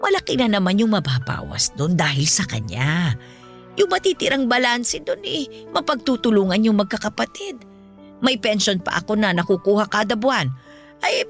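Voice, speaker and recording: A woman playing a gentle, principled ailing mother in a Filipino radio-style drama, speaking with earnest, patient counsel. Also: medium pitch, close to an everyday voice. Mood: reassuring. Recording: music bed under the voice.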